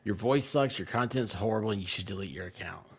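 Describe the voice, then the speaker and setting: Low-voiced man rambling casually. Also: quick pace, crowd noise. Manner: rambling, often trailing off mid-sentence. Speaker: online content creator and streamer. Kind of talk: casual livestream chat.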